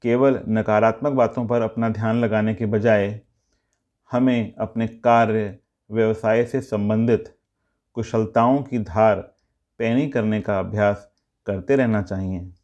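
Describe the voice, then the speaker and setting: Male storyteller, lowish pitch, measured phrasing for scripted moral tales, slightly dull recording. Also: fairly even intonation, a little mellow. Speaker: storyteller and channel narrator.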